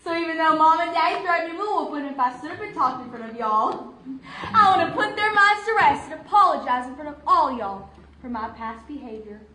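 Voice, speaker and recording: A woman's voice: high-pitched, lively intonation, a little fast, bright, forceful tone, reverberant. A woman playing a naive, chatty young church girl with an American Southern accent, rambling earnestly in a comic stage monologue. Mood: earnest apologetic. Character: giddy, chatty young church girl insisting she has matured.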